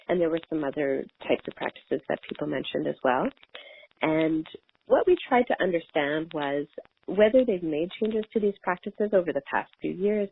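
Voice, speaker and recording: A woman consultant presents evaluation findings on a conference call in a halting way, often repeating and rephrasing her words. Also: on the low side. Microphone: telephone on a conference call. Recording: narrow phone line, faint noise, clicks.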